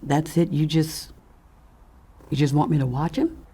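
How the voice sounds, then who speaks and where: An actress playing a defiant, sardonic neighbor in an audio drama, speaking with pointed, indignant emphasis. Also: female voice, low-pitched, steady tone, a little slow, dark tone, husky. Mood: grudging. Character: defiant, sardonic woman neighbor, standing her ground. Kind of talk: audio fiction drama acting.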